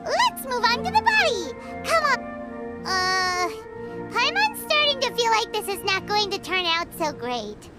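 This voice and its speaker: A woman voicing a tiny, excitable, blunt fairy girl companion in a video game. The fairy is dramatic and bossy and refers to herself in the third person. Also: very high-pitched, measured pace, full character voice. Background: game music.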